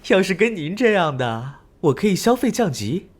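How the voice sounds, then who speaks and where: 泼辣轻佻女助理，语调起伏大. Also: female voice, fairly low pitch, big pitch swings, normal speed. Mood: flirtatious. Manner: sassy, gossipy, drawling, mocking. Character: brazen, flirtatious, sharp-tongued woman assistant with mercenary streak. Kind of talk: animated crime drama acting.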